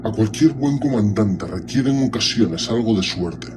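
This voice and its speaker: A man's voice: lowish voice, lively intonation, a little slow, noisy background. A man playing an ancient superhuman warlord in an audio drama, speaking with cold, commanding disdain and menace. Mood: condescending. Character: ancient imperious superhuman warlord primarch, menacing and coldly condescending.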